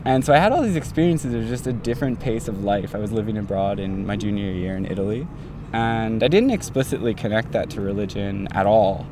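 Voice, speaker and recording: A man's voice: on the low side, brisk, background noise. A young man in his twenties, a doctoral student, telling his story in a casual, rambling way full of fillers like "like" and "um". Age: 20s.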